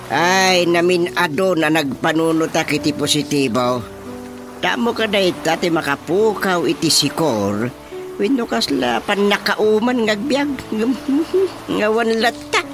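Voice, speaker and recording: A man's voice: high voice, expressive, takes it slowly, music in the background, exaggerated voice. An actor playing a worried, complaining older father with an Ilocano Filipino accent, sighing and grumbling in a radio drama comedy. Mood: worried, exasperated. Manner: sighing, grumbling, full of interjections.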